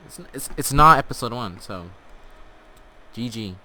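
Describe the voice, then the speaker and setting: A man's voice: medium pitch, lively intonation, moderate pace, pushed and bright. A man telling comic stories casually over gameplay, rambling in a tongue-in-cheek, mock-earnest way. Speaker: host of a gaming storytelling channel.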